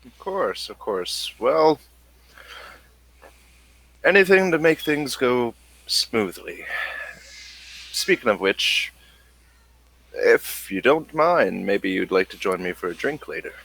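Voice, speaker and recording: A man playing a courtly male general in a tabletop game, talking in a measured, courteous manner. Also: mid-range voice, very animated, creaky, fairly bright tone, heavily acted, low-quality recording. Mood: gracious. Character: courtly male general, gracious, slightly flirtatious.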